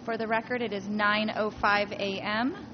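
A woman's voice: mid-range voice, fairly even intonation, normal speed. Friendly woman chairing a meeting. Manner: friendly and a little flustered, laughing at herself. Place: public meeting room. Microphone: table microphone. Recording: muffled meeting audio with audible noise.

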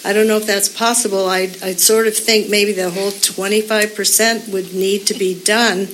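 A woman's voice: medium pitch, level tone, normal speed, fairly bright tone, slight background noise, poor audio. A woman giving an earnest, rambling public comment at a community meeting, with many fillers.

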